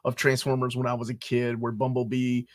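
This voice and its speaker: A man in his forties from Peru hosting a casual interview, excitable and joking, often jumping up in pitch when animated. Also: a little fast. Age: 40s. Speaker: podcast host.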